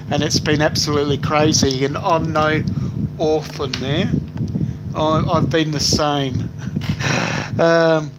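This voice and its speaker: Brief: older Australian man, rambling live-stream chat, slightly high voice, noisy background. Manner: rambling, lots of fillers, stop-start phrasing. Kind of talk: casual live stream chat, reading comments aloud. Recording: noisy home recording.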